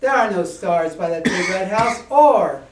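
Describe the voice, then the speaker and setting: A man voicing smug, condescending townspeople with an emphatic, drawn-out, mock-certain delivery. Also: high-pitched, lively intonation, slight background noise. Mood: smug, dismissive. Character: smug, condescending grown-up townspeople, dismissing a child. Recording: echoey room, distant microphone.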